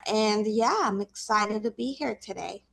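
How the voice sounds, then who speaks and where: A woman's voice: mid-range voice, measured pace, gravelly, a bit bright. A woman in her late twenties from the Caribbean, a podcast guest talking casually and chattily with plenty of fillers. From Caribbean, Saint Croix and Puerto Rico. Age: 20s. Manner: chatty, laughs easily, many fillers. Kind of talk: casual interview conversation.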